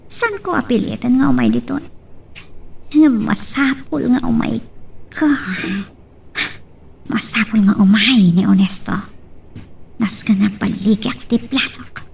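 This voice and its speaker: A woman's voice: fairly high pitch, a little slow, gravelly, long pauses, a little mellow, slightly rough, exaggerated voice. A woman with an Ilocano Filipino accent acting a scheming, lovelorn young woman in an emotional romance drama. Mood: bitter scheming. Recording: distant mic with faint noise.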